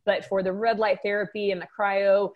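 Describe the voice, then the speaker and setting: A woman's voice: mid-range voice, flat delivery, moderate pace, phone-quality sound. A woman from Texas with a Texan accent, a med spa owner answering interview questions in an upbeat way with many restarts. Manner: upbeat, laughs often, frequent restarts. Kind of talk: casual interview.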